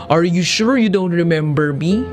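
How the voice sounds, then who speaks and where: Woman as insistent male suitor, terse pressing English, very slow delivery, audiobook drama, music behind. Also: fairly low pitch, close to an everyday voice. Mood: questioning. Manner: short, slow, pressing questions in simple English. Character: insistent Qatari man, terse suitor in a car.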